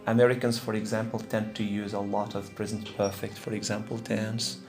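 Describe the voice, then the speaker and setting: A man's voice: lowish voice, flat delivery, brisk, faint music behind. A man teaching informally, explaining step by step and often checking that listeners follow. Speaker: teacher, moderator. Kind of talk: informal classroom explanation and questioning. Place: classroom at a language centre.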